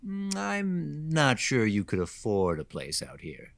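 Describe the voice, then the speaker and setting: A man's voice: medium pitch, wildly swooping pitch, takes it slowly, vocal fry, no echo, exaggerated voice. A man playing a condescending, sexist wealthy homeowner with a haughty, insinuating manner in an audio drama. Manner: hesitant fillers, haughty, insinuating.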